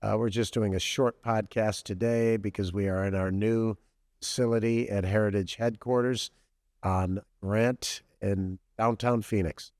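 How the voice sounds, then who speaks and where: Podcast host guy, lowish voice, dry close sound, lots of ums, easygoing. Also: unhurried, no room sound. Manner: relaxed, hesitant, many ums and uhs. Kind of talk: casual podcast interview.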